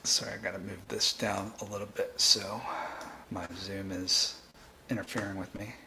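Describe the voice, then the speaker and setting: A man hosting a live coding tutorial, thinking aloud in a halting, informal way and often restarting his sentences. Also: lowish voice, little pitch movement, vocal fry, bright, forceful tone, a little hiss or hum. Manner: halting, self-interrupting, informal. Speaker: livestream host, programming instructor.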